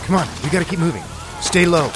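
An actor playing an urgent, protective survivor leading a rescue in a zombie audio drama. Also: male voice, a little high, expressive, fast, background noise, lightly acted. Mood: urgent, commanding.

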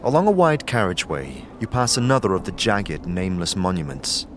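A man narrating an audio drama in the second person, measured and descriptive, over a music bed. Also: deep voice, very animated, background noise.

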